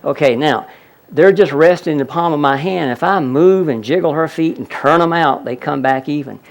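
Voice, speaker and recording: A man's voice: on the high side, normal speed. A man presenting a healing seminar, explaining a live demonstration to an audience and repeatedly pointing things out. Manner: explanatory, audience-addressing, frequent "look at that".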